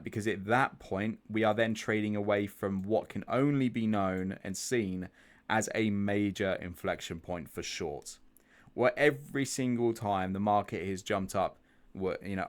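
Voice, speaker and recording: A man hosting a live trading stream, chatting through chart analysis, thinking aloud with restarts and asking viewers for their views. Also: lowish voice. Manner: chatty, thinks aloud, repeats words, asks viewers questions. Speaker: trading group host.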